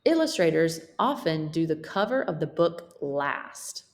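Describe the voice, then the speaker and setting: A woman teacher reading a children's picture book aloud in a deliberate, expressive way. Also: low voice.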